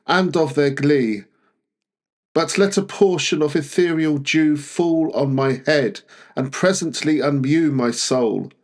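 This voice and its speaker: A man reading classic verse aloud in a measured, deliberate way, pausing often between phrases. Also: medium pitch. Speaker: poetry reader. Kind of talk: literary poetry recitation.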